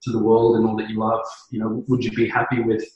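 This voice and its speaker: A man's voice: fairly low pitch, completely flat delivery, fast, darkish tone, very echoey, highs cut off. A man in his thirties from New Zealand, a business co-founder talking earnestly as an interview guest, with many fillers and restarts. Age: 30s. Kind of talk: conversational podcast interview.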